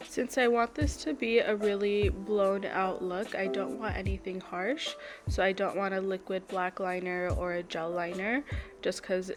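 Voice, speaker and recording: A woman's voice: mid-range voice, level tone, unhurried. A young woman in her twenties, a bit congested and nasal, chatting through a makeup tutorial voice-over step by step and apologizing now and then. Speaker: beauty vlogger. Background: background music.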